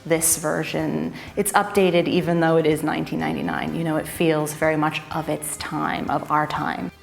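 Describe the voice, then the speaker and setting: A woman's voice: low-pitched, level tone, normal speed, creaky, faint music behind. A woman, an actress, chatting lightly in an interview. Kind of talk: casual interview.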